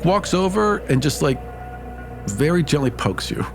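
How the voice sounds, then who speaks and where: A man's voice: on the high side, sing-song, measured pace, creaky, music in the background. A man playing a tabletop game, casually narrating what his character does.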